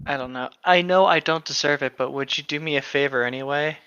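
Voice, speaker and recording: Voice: mid-range voice, fast talker, sharp tone, clear, resonant tone, dry recording. A person chatting casually and reading aloud with friends, on a dull, muffled microphone. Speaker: co-host of a comedic group read-along.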